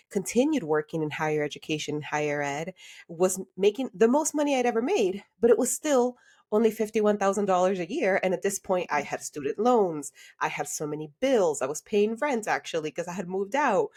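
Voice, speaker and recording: A woman with a slightly low voice talks as a guest on a podcast. She grew up in Honduras and then the United States, and she tells personal stories in a candid, reflective, conversational way. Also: expressive, fairly quick, a little mellow. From Honduras until age eight, then United States. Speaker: podcast guest, career coach.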